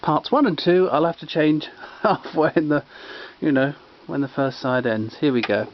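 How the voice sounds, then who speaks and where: A man's voice: a little high, normal speed. A man giving casual, humorous commentary as he presents an old record. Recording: dull sound with faint noise.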